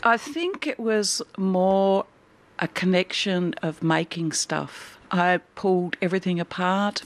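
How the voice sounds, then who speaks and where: A woman artist speaking candidly in an interview. Her voice is on the low side and carries a gravelly fry. She talks in a thoughtful, open way. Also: slow. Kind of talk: reflective interview answers. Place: art studio.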